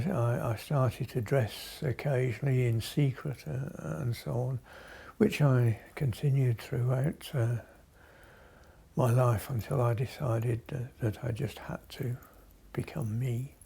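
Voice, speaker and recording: A male-sounding speaker in their sixties giving halting, measured personal testimony in an interview, with many pauses. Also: mid-range voice, creaky, mellow, slightly rough. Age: 60s.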